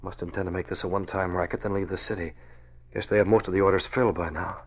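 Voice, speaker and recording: An actor playing a resolute, calculating masked crimefighter in a 1940s radio drama, speaking in a measured, self-assured way. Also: male voice, sits low, steady tone. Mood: musing. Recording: dull old radio recording.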